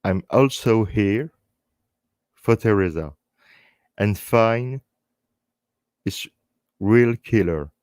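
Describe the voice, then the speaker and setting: Slow, low-voiced man with French accent. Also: animated, darkish tone, no echo. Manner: halting English with short phrases and repeated words. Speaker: live stream host. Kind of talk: earnest unscripted talk to online viewers.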